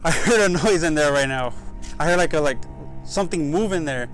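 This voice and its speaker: A young man with a slightly high voice. He talks casually as a vlog host investigating on location outdoors. Soft music plays faintly behind him. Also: lively intonation, brisk. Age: young adult. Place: outdoors beside a lighthouse building.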